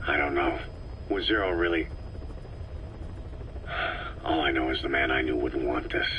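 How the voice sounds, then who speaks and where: Super deep brooding old-hand soldier boss, video game style, few words, noisy background. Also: male voice, measured pace, dull audio. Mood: doubtful, subdued. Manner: laconic, guarded, questioning. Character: terse, brooding male veteran soldier, a mercenary boss. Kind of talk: video game voice acting, dramatic dialogue.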